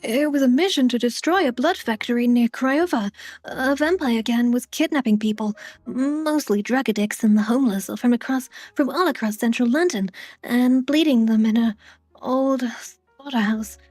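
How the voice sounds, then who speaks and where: Girl putting on a high anxious teen anime boy, earnest, stammery, dry with faint music. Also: moderate pace, darkish tone, no echo, close to an everyday voice.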